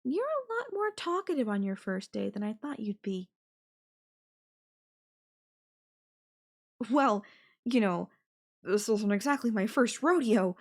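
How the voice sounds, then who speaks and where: A woman's voice: fairly high pitch, sing-song, normal speed. A woman voicing a possessive, sweetly menacing yandere girlfriend in an intimate audio roleplay, coaxing the listener with affection and veiled threats. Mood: curious, affectionate.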